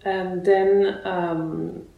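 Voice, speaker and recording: A woman born in Russia, a guest musician being interviewed, speaking haltingly with many fillers and restarts. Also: lowish voice, flat delivery, creaky, narrow, tinny audio. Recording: roomy echoey room.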